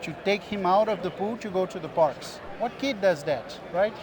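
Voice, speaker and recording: A middle-aged man from Brazil with a Brazilian Portuguese accent, explaining diving medicine in a measured way as an interview guest. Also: high voice, a crowd in the background. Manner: measured, explanatory, with small restarts. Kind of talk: interview answers. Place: busy expo booth floor.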